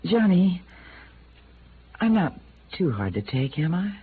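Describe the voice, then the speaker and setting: Coaxing young noir widow, lively pitch. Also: female voice, slow pace. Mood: coy, coaxing. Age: young adult. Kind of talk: 1950s radio drama acting. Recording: narrow vintage radio sound with noise.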